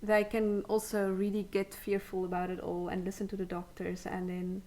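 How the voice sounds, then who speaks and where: A woman's voice: medium pitch, monotone, normal speed, dark tone. A woman hosting a supportive, casual interview in an encouraging, affirming way, feeling her way through sentences. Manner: encouraging, affirming, hesitant phrasing. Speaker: interviewer, host.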